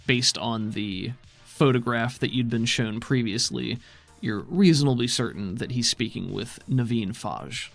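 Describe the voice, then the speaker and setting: A man runs a tabletop role-playing game with measured, descriptive narration and casual asides. His voice sits at a middle pitch, with soft music behind it. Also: vocal fry. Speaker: game master. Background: background music.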